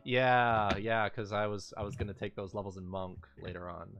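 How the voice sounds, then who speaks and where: A man's voice: lowish voice, flat delivery, moderate pace, a bit bright, highs cut off, faint music behind. A man in a casual tabletop gamers' chat, talking informally and swearing freely. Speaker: tabletop role-playing game player.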